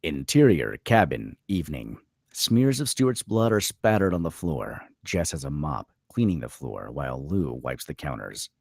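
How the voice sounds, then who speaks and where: A man's voice: rumbling low, big pitch swings, normal speed, creaky, a little mellow, breathy edge, dry recording, studio quality. A man narrating screenplay stage directions at a table read, reading scripted scene descriptions.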